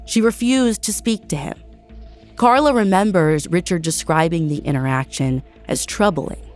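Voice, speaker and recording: A woman with an American accent narrates as a true crime podcast host. Her voice sits on the low side, with music playing behind her scripted storytelling. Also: normal speed, darkish tone.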